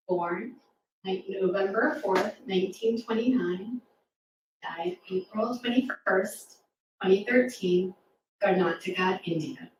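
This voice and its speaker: A woman reading rhyming verse aloud to young children at a live storytime, with an encouraging, interactive manner. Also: mid-range voice. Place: live reading event in a roomy space. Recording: echoey room, distant mic, faint noise.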